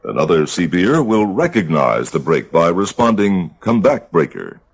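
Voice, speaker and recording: Deep husky guy narrating a how-to guide, really varied intonation. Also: very animated, moderate pace. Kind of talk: scripted instructional narration.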